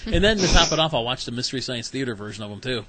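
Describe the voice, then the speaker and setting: A man's voice: mid-range voice, very animated, a loud crowd. A man hosting a podcast, talking in a loose, rambling way and laughing easily. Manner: chatty, rambling, quick laughs. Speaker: podcast host. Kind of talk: casual chat.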